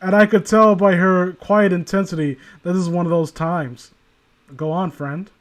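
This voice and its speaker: High-pitched irreverent man riffing as he reads. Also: fairly even intonation, moderate pace. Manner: irreverent, mocking, breaks into laughter while reading. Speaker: host reading a creepypasta aloud with friends. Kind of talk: comedic read-along with improvised riffing.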